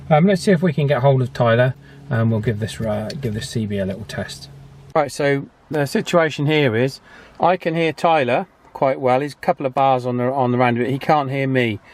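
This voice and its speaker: A man hosts a casual hobby channel about radios. He talks in a chatty, unpolished way at an average pitch, a little hesitant and understated. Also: a little mellow, a little hiss or hum. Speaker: host of a radio repair and testing channel. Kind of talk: casual vlog commentary.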